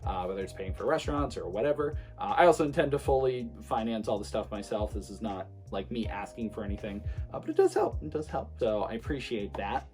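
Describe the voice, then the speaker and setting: A man in his late twenties, a streamer, talking casually to his viewers in a relaxed, rambling way with many fillers. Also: on the low side, fairly quick, few pauses, faint music behind. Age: 20s. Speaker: streamer and vlogger. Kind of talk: casual talk to camera.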